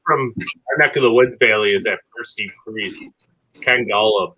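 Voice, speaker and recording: A man's voice: fairly low pitch, moderate pace, pushed and bright, slight background noise, rough recording. A man chatting casually as a host on a fishing podcast. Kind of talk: casual chat.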